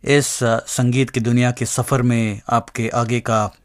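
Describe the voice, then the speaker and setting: A man works as a radio interviewer, putting questions to his guest. His voice sits at a middle pitch. The sound is dry, with no room around it. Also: little pitch movement, slow pace, no room sound.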